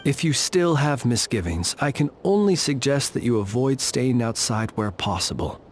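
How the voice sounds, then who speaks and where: A male actor playing a courteous, reserved keeper of a haunted isle in a video game, speaking formally with measured, wry understatement. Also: mid-range voice, lively intonation, a little hiss or hum, close to an everyday voice. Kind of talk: scripted video game character dialogue. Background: background music.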